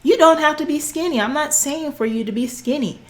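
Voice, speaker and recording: A woman in her forties, a fitness coach, talks straight to the camera in a sassy, preachy way. Her voice sits a bit high, and she keeps calling her listeners honey and girl. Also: fairly quick. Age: 40s. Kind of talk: direct-to-camera coaching talk.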